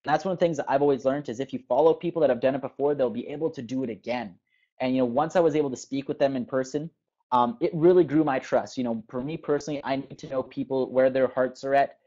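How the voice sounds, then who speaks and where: A man hosting an online webinar, speaking casually and earnestly at a mid pitch, with fillers like "you know". The sound is dull and lo-fi, typical of a web call. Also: fast, dark tone. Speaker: webinar host. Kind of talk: introducing guest speakers.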